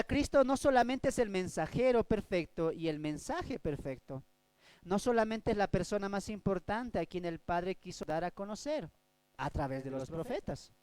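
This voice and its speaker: High, clear-voiced preacher man. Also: no room sound, low-quality recording. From Bolivia. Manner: preaching with sharp swings between loud and soft. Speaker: pastor. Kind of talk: Bible study sermon.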